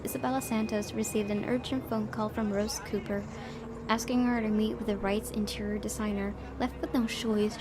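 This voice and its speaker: A woman hosting a gaming video, reading a visual novel aloud and commenting casually. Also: mid-range voice. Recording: clearly noisy home recording.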